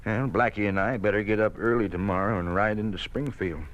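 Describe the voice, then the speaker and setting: An actor playing a kindly, stubborn old farmer with a folksy, halting way of talking in an old-time radio drama. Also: male voice, on the low side, fairly even intonation, close to an everyday voice. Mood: puzzled, determined. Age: older. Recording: muffled, noisy vintage radio recording.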